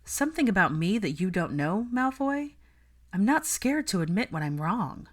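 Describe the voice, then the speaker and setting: An assertive, articulate witch from a fan-fiction audiobook, acted out by a woman reader. She speaks in measured, formal sentences with a pointed edge, standing her ground. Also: mid-range voice, big pitch swings, voice pushed up. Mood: defiant, measured. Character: composed, assertive adult witch, defiant and articulate.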